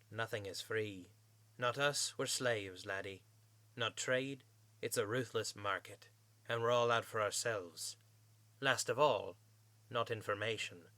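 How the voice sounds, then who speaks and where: A man voicing a shrewd, condescending male pony merchant, high and flighty, in an audiobook-style story. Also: pushed and bright, no room sound. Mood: condescending menace. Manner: condescending, wheedling sales patter.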